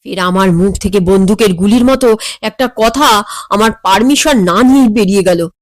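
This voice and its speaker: A woman's voice: mid-range voice, normal speed, no room sound, clean studio sound. A woman narrating a comic story expressively.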